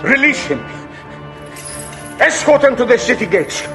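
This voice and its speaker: An actor playing a furious, imperious sultan who barks commands in an audio drama. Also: male voice, sing-song, a little slow, bright, forceful tone, faint music behind, exaggerated voice. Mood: furious, commanding. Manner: shrill, commanding outbursts. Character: furious, imperious male sultan barking orders.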